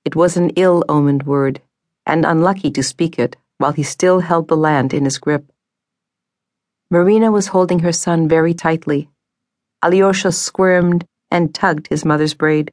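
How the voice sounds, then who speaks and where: A woman narrating a fantasy audiobook in a measured storytelling manner, on a studio mic. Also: deep voice, a little mellow, no echo.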